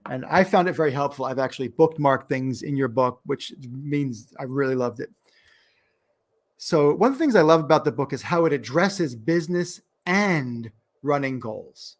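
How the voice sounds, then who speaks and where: A man with a New York accent hosting a podcast interview, upbeat and laughing often as he introduces his guest. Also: mid-range voice. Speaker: podcast host.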